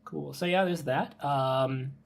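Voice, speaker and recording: A man's voice: a little high, takes it slowly, gravelly. A man hosting a podcast in a casual chat, feeling his way through sentences with tentative phrasing. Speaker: podcast host.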